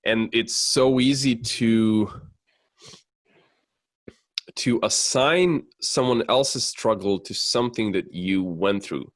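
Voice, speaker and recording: A man's voice: medium pitch, slow, long pauses, dry recording. A man from Serbia talking casually in a podcast conversation, searching for words with many fillers and restarts. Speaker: podcast guest, DJ and producer.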